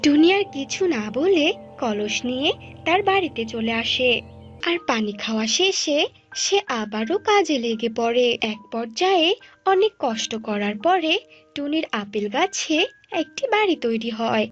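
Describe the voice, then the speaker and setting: A woman's voice: high voice, lively intonation, measured pace. A woman narrating a scripted children's cartoon story. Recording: dull lo-fi sound, music behind.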